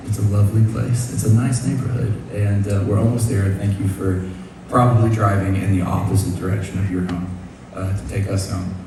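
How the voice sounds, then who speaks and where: Low-voiced frontman on a concert stage. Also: background noise. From Nashville, USA. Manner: rambling, self-deprecating, halting with restarts. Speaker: band frontman. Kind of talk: onstage storytelling between songs. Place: large concert club stage. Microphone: stage vocal mic through PA. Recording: echoey live concert hall from far off.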